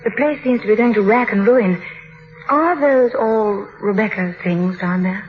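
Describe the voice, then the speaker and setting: A woman's voice: medium pitch, unhurried, slight background noise, close to an everyday voice. An actress playing a timid, anxious young bride in an old radio drama, earnest and hesitant as she narrates and speaks. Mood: tentative, curious. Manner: hesitant, earnest, breathlessly nervous. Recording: dull vintage radio broadcast with music.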